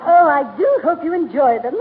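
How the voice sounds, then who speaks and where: An actress playing a fluttery, haughty society matron in 1940s radio comedy, with affected refined speech. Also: female voice, high-pitched, animated, moderate pace. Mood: genteel, fluttery. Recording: tinny, noisy 1940 radio broadcast.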